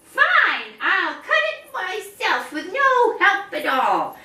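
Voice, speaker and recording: A woman's voice: huge pitch swings, slow, bright, forceful tone, exaggerated voice. Little red hen, as a woman puts her on. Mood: determined. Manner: high, pleading then emphatic. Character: determined little female hen, hardworking. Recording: roomy, from a distance.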